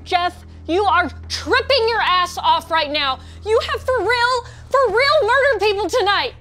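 Exasperated, panicky woman at a party, played by an actress; extremely high, loud, music behind her. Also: expressive, slow, full character voice.

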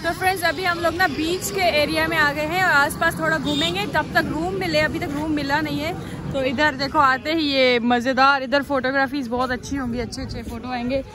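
Young woman doing a chatty travel vlog, high voice, busy crowd noise behind her. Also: fairly even intonation, a little fast. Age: young adult. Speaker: vlogger, host. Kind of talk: casual travel vlog chat to camera. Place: busy restaurant, street and hotel grounds. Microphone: phone at arm's length. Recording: noisy outdoor and restaurant crowd background.

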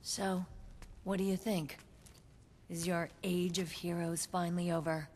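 A woman's voice: lowish voice, flat delivery, unhurried, a little mellow, slight background noise, lightly acted. An actress playing a confident, stern young female squad commander in a video game, with a firm, assured delivery. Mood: proud. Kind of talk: video game cutscene acting.

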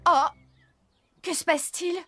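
Kids cartoon lady farmer, high voice, expressive and bossy in a caring way, faint music. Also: unhurried, full character voice. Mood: brisk, concerned. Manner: expressive, directing others. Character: brisk, caring woman farmer in charge. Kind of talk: French cartoon dub for children.

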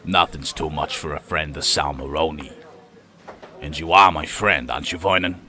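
Deep gravelly mob boss. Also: male voice, pushed and bright, exaggerated voice. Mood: menacing, falsely friendly. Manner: slow, falsely friendly menace. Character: menacing smooth-talking male mob boss, explosive temper.

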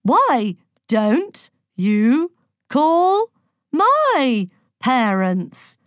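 A woman's voice: mid-range voice, very animated, normal speed, no echo, clean studio sound. A woman voicing a prim, disdainful and literal-minded girl in an audiobook, haughty and precise. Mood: stagey.

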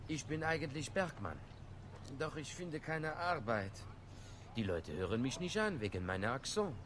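An actor playing a jobless French-Canadian miner in a German video game dub, speaking with a French accent in a polite, grateful way. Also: male voice, mid-range voice, sing-song, normal speed, noisy background, close to an everyday voice. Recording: game audio with music behind.

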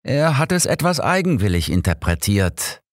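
A man's voice: mid-range voice, big pitch swings, moderate pace, no room sound, clean studio sound, pitched above the performer's own voice, lightly acted. A man voicing a clever old detective with a wry, matter-of-fact manner in an audiobook mystery. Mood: wry, teasing.

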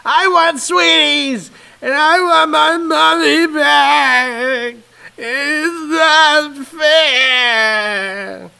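High, whiny little boy. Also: gravelly, sharp tone, full character voice. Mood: tearful whining. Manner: petulant, whining, drawn-out words. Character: whiny, stubborn young boy demanding food and sweets.

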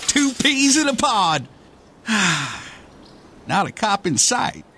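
A man's voice: extremely high pitch, very animated, takes it slowly, pushed and bright, heavily acted. A man playing a brash, wisecracking redneck with an in a comic radio play. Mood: wry, weary. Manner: folksy, wisecracking, exasperated. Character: brash, cranky redneck everyman, last human alive.

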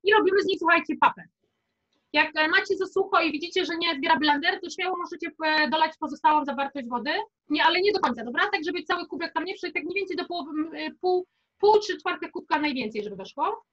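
High, bright young woman teaching cooking. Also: fast talker, highs cut off. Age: young adult. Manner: informal, encouraging, chatty. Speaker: cooking instructor. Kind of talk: casual live cooking workshop. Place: home kitchen, indoors.

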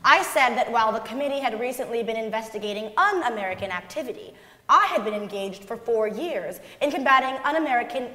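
A woman's voice: fairly high pitch, fairly bright tone. A young woman giving an emphatic dramatic reading of a first-person account on stage. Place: large concert hall stage, seated at music stand, before an audience. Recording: echoey concert hall.

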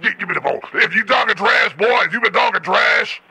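A man's voice: high-pitched, lively intonation, a little fast, gravelly, bright, forceful tone, slightly rough, no echo, heavily acted. A man voicing a rowdy, drunk Southern junkyard man who hurls blustering insults with a Georgia accent over a phone. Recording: tinny phone line.

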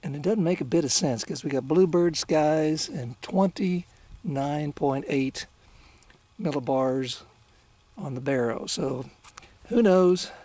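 A man's voice: a little high, slow, dark tone. An older man hosting a fishing video from a small boat on a lake, chatting folksily to the camera and to the fish he catches. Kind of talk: casual talk to camera while fishing. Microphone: camera mounted on the boat. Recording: faint outdoor noise.

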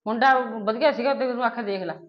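An actress as a blunt, indignant Punjabi mother in an amateur family drama. She complains quickly in a high voice. The recording is dull and muffled. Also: close to an everyday voice. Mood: complaining. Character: indignant, outspoken Punjabi mother of a married daughter. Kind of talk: amateur short-film family drama acting, conversational.

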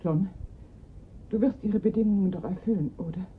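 A woman's voice: normal speed, lightly acted. An actress playing a desperate, insistent mother in a radio crime drama, pleading urgently. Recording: thin phone-like sound, faint rumbling noise.

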